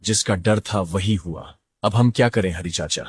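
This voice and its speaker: Worried, sympathetic cartoon villager, male actor: very low, rough-edged, questioning. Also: normal speed, no echo, studio quality. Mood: anxious. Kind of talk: cartoon moral story dialogue.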